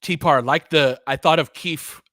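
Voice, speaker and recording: Bright-voiced man calling basketball casually. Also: fairly high pitch, a little slow, no room sound, clean studio sound. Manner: conversational, opinionated, answers chat commenters by name. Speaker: fan play-by-play host. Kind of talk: casual audio play-by-play and fan chat.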